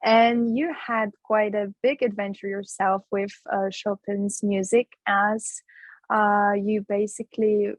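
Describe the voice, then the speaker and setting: A woman's voice: medium pitch, little pitch movement, slow pace, no echo. A woman interviewing a guest, asking questions hesitantly with fillers.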